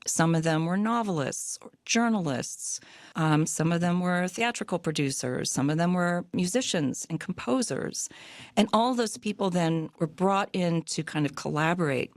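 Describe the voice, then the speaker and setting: A woman's voice: fairly low pitch, animated, moderate pace, no room sound, clean studio sound. A woman author being interviewed, explaining her subject in a thoughtful way with the odd filler.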